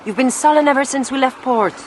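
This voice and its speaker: A woman's voice: sits high, fast talker, lightly acted. Actress as haughty mother, noisy backdrop. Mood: weary, reproachful. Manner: brusque, dismissive. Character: haughty, hard-hearted merchant's wife and mother. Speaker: actor in audio drama. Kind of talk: naturalistic radio drama acting. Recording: noisy outdoor ship ambience.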